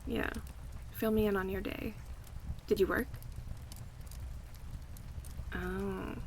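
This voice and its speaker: A woman playing an affectionate, chatty friend in a casual, intimate ASMR roleplay, speaking softly and in a relaxed way. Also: mid-range voice, slow, gravelly. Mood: relaxed, friendly. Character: affectionate young woman, cozy longtime friend hosting a night in. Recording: faint background ambience noise.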